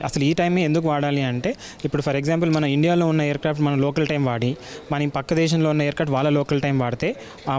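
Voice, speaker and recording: A man with a slightly high voice and an Indian accent gives explanatory interview answers as an air traffic controller. He speaks haltingly, feeling his way through each point and dropping in English terms. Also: darkish tone. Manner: halting, hesitant delivery mixing in English terms.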